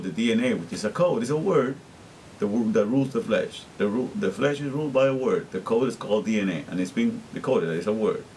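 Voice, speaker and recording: A man's voice: fairly low pitch, darkish tone, a little hiss or hum, low-quality recording. A middle-aged man from Guatemala, talking earnestly and repetitively in a rambling personal monologue, often restarting his sentences. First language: Spanish. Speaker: vlogger.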